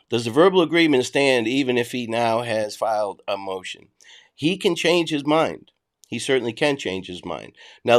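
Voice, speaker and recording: A middle-aged man with a Boston accent, hosting a casual livestream, reading and answering chat in a blunt, conversational way. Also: mid-range voice. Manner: blunt, conversational, frequent restarts.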